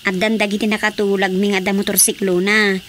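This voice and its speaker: A woman's voice: medium pitch, fairly quick, lightly acted. A woman acting a practical, enterprising village woman in an Ilocano radio drama, with an Ilocano accent. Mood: brisk, explaining. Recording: steady background noise.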